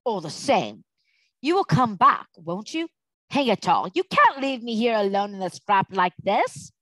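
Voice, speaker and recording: Storybook young lad, read by a woman: worried, exclamatory, pleading, easily indignant, very expressive pitch. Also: unhurried, dry recording, rough recording.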